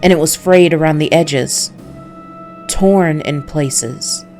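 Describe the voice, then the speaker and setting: A weary male noir antihero, read by a woman narrates a visual novel in a low voice. The delivery is brooding, measured and wary, with faint music underneath. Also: a little mellow.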